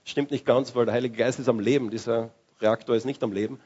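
A man preaches in a loose, conversational way, often restarting his sentences. His voice is husky and sits at a middle pitch. The recording is dull and lo-fi. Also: steady tone, fast talker, a little mellow. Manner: conversational, with restarts and searching for words. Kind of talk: sermon.

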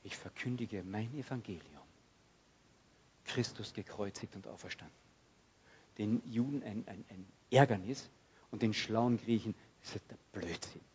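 A man preaching a sermon, swinging from loud outbursts to hushed, quiet passages and acting out prayers. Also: on the low side, measured pace, slightly rough, muffled recording.

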